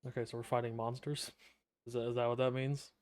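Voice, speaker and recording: A man's voice: medium pitch, little pitch movement, fast talker, a little mellow. A man casually commenting and joking while streaming a game. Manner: laid-back, joking, slangy. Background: game music.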